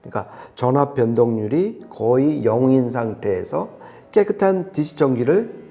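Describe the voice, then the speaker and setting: Animated, mellow-voiced man explaining. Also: medium pitch, normal speed. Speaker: audio reviewer and explainer. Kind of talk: conversational product explanation.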